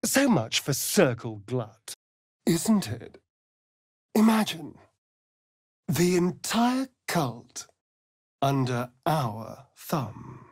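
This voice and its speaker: Flamboyant old vampire rogue for a video game, arch n theatrical, really animated pitch, dry close sound. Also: male voice, extremely slow, pauses often, husky, no echo, full character voice. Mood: sly, menacing. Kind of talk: video game character acting.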